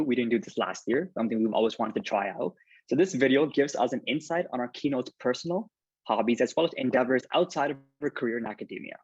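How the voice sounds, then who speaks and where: A man's voice: mid-range voice, slightly rough, no room sound. A man hosting an academic lecture event, introducing a video in a friendly, informal way. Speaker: event host. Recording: dry, dull lo-fi sound.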